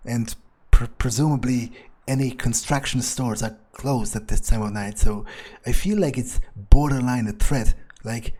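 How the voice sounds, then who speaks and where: A man's voice: mid-range voice, a little mellow, slightly rough. A man playing a sardonic, grumbling vampire who thinks aloud in casual, sweary monologue for a game roleplay. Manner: grumbling, sarcastic, swears freely. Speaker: game player narrating in character.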